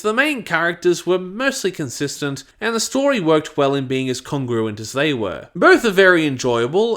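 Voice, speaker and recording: A man with a fairly high voice delivers scripted review narration. His pitch moves around a great deal, giving the reading an animated, lively sound as he hosts. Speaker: reviewer, channel host.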